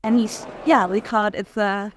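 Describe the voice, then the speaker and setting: A woman in casual, reflective conversation about art, speaking haltingly with plenty of filler "like". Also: medium pitch, steady tone. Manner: halting, frequent use of "like". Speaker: curator in conversation. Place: art fair. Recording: noisy fair hall ambience.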